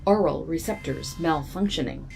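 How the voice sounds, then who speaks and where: A woman's voice: deep voice, moderate pace, vocal fry, over music. A woman playing a formal, sardonic robot assistant in a scripted audio drama, speaking in clipped, precise phrases. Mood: cool, dry.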